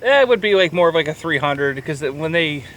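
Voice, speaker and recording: A man's voice: fairly high pitch, expressive, brisk, fairly bright tone, low-quality recording. A man co-hosting a casual film review podcast, chatting irreverently and swearing freely. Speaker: podcast co-host. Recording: clear background urban ambient noise.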